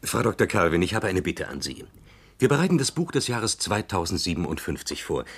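A man's voice: deep voice, sing-song, a little fast, breathy edge, full character voice. A man in his twenties playing a polite, eager young editor in a radio drama, deferential in tone. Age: 20s. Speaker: encyclopedia editor.

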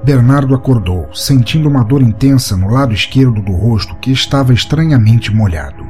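A Brazilian man with a soft, mellow voice hosts and narrates a storytelling podcast. He reads prepared material at a mid-range pitch, with music playing behind him. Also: expressive, a little fast. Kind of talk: scripted story narration and reading listener comments. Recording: music bed under the voice. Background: background music.

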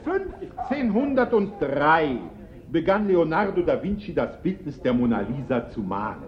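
An actor playing a flustered, pedantic official giving a formal, deliberate lecture in a German radio play. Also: male voice, a little high, sing-song, lo-fi sound. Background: crowd in a hall.

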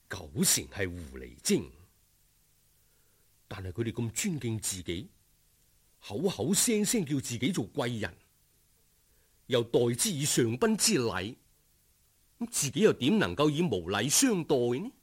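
A man with a Cantonese accent narrating a folk tale as a dramatic, expressive storyteller who savours each description. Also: mid-range voice, a little slow, pauses often, husky.

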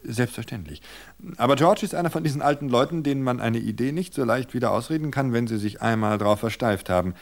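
Male reader doing a jittery young wife, raised pitch, hesitant. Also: fast, creaky, close to an everyday voice. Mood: nervous. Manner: nervous, hesitant, stumbling restarts. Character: anxious young wife, restless and defensive.

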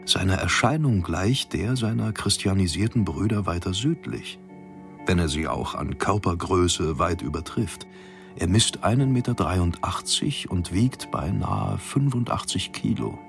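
An actor in a radio drama playing a formal, self-important expedition ship's captain reading out his logbook in measured diction. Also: deep voice, lively intonation, creaky, soft background music, exaggerated voice. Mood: formal, observant.

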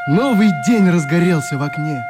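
A man's voice: a little high, expressive, moderate pace, loud music behind the voice, close to an everyday voice. An actor as an ailing, irritable young man. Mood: quiet, earnest. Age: young adult. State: coughing, sick with flu. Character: sick, irritable young man, idealistic youth leader. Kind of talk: radio play acting.

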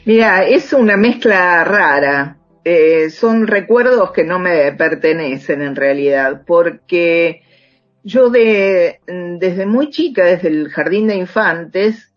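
A woman's voice: on the low side, animated, slow pace, lo-fi sound, soft background music. An older woman with an Argentine accent reminiscing casually as an interview guest, searching hesitantly for her words. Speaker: interview guest, radio drama writer.

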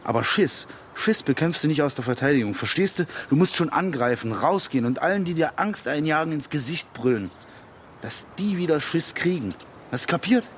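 A man's voice: on the high side, animated, brisk, slight background noise, lightly acted. An actor playing a blunt, lonely and combative working-class man in a radio drama, speaking in short colloquial phrases. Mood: confiding, intense. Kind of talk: naturalistic radio drama dialogue.

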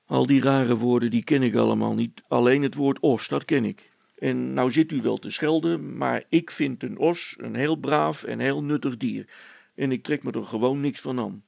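Man playing a gravelly, stolid, blunt handyman. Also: mid-range voice, moderate pace, darkish tone, dry recording, voice pushed down. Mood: unmoved. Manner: plain-spoken, dry, stubborn. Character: stolid, blunt, unflappable handyman, grumbling adult male.

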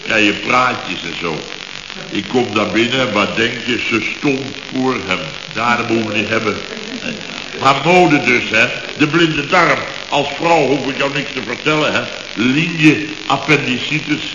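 A dull, hissy vintage radio recording in a reverberant room. A blustering company boss, played by an actor talks in impatient, exclamatory scraps, his male voice sitting at an average pitch. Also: a little slow, lightly acted. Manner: blustering, clipped fragments, exclamatory. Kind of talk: Dutch radio comedy acting, around 1970. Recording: echoey, dull, noisy old radio recording.

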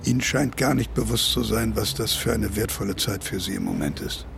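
An actor playing a cynical older academic in a German radio play, grumbling in a pompous, declamatory, world-weary way. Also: male voice, on the low side, brisk, vocal fry, background noise. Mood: resigned.

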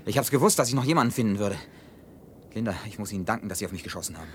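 A man's voice: fairly low pitch, expressive, rapid-fire, a little hiss or hum, close to an everyday voice. An actor playing a tense, commanding and bitterly sarcastic man facing deadly danger in a science-fiction radio drama. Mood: excited.

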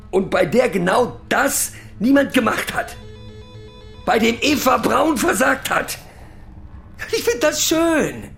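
An actor playing a foul-mouthed, boozy German pub regular who rants crudely and haltingly, sentimental underneath, in a radio drama. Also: male voice, high voice, creaky, fairly bright tone, exaggerated voice. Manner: crude ranting, halting and rambling, swearing. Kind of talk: theatrical radio drama monologue.

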